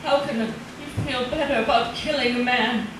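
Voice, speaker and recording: An actress playing an anguished, conscience-stricken wife in an old-time radio drama, earnest, grave and melodramatic. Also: female voice, fairly high pitch, steady tone, normal speed, natural voice. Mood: bitter, grave. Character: anguished, conscience-stricken wife, resolute against dirty money. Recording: echoey, distant, noisy old radio recording.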